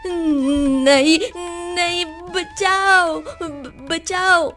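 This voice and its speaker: A woman voicing a poor, worried orphan boy in an animated moral story, plaintive and questioning. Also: extremely high pitch, drawn-out words, exaggerated voice. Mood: terrified. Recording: music behind the voice.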